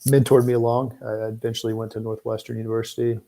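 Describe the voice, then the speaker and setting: A man speaking as a guest in a casual interview, informal and somewhat halting, with repeated words and pauses. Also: medium pitch, level tone, mellow. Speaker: guest, prosthetist.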